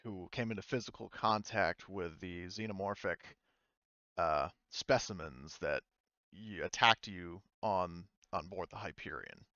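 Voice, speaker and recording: A man's voice: low voice, measured pace, pushed and bright, no echo, highs cut off, exaggerated voice. A man voicing a formal, officious police inspector in a tabletop game, asking procedural questions. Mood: formal, probing.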